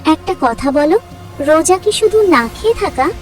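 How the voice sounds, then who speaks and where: A woman's voice: high voice, expressive, moderate pace, far from the mic, soft background music. A woman playing a curious, earnest young sister in a devout family cartoon story. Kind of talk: scripted cartoon story dialogue.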